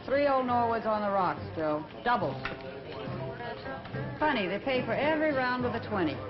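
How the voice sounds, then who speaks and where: An actress playing a brisk, brassy barroom waitress in a 1960s crime film. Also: female voice, fairly high pitch, lively intonation, close to an everyday voice. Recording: muffled 1960s film soundtrack. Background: bar music and crowd.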